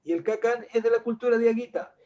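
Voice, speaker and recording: A high-voiced older man with a Chilean accent, speaking in a reflective, wandering way that is full of restarts and fillers. The sound is muffled and low-fidelity, like the audio of an online call. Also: brisk, mellow. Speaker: guest artist. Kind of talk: casual panel discussion.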